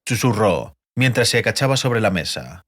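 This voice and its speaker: A man's voice: fairly low pitch, very animated, normal speed, fairly bright tone. A man narrating a fantasy audiobook as a scripted storyteller.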